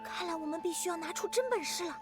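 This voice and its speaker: A woman playing perky, friendly, excitable young girls in a Chinese fantasy game dub, with bubbly, expressive delivery. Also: very high voice, very fast. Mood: impressed. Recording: game dub under clear background music. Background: game music.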